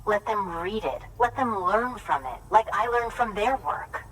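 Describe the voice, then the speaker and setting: A woman's voice: medium pitch, expressive, measured pace, fairly bright tone. A woman playing an earnest, idealistic researcher in a video game, speaking in plain declarative phrases. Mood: earnest, hopeful. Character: earnest, idealistic researcher keen to share knowledge. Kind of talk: dramatic video game dialogue. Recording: distant from mic, faint noise.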